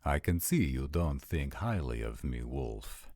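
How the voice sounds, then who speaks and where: A man playing a proud, stern male vampire prince with a formal, measured manner in a roleplay audio drama. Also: extremely low pitch, animated, darkish tone, no room sound, clean studio sound, heavily acted. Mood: cold, formal.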